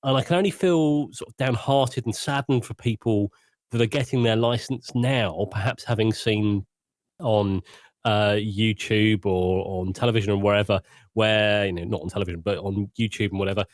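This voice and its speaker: A man's voice: lowish voice, fairly even intonation, moderate pace, no room sound, clean studio sound. A man chatting casually and candidly as a podcast panelist, in a self-deprecating way. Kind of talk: casual chat, personal anecdote.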